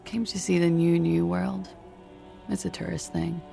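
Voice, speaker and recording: An actress playing a world-weary, evasive woman in a detective video game, dry, laconic and sardonic, with a very creaky voice. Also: lowish voice, level tone, unhurried, mellow, faint music behind.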